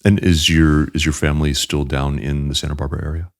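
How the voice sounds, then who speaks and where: Male podcast host, very low voice, calm and measured questions, dry studio sound. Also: no echo. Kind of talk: interview podcast.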